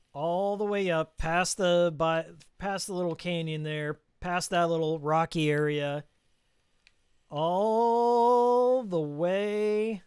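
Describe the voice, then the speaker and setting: Male game streamer, casual and rambling, slightly hoarse yet smooth, higher-pitched, dry close sound. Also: dry recording. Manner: relaxed, rambling, reading out viewer names slowly. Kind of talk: casual live-stream chat.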